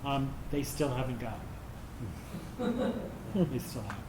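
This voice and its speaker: A middle-aged or older man answering audience questions informally as a theater director, haltingly searching for words. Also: mid-range voice, very animated. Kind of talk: informal post-show Q&A. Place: roomy indoor performance space. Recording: echoey room with clear background noise.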